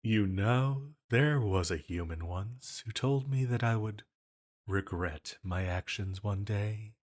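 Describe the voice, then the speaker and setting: Slow, low-voiced ancient villain played by a man. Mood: self-conscious, regretful. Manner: flirtatious, hesitant, unhurried musing. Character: ancient banished villain entity, flirty, lonely, self-conscious. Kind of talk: intimate scripted roleplay audio.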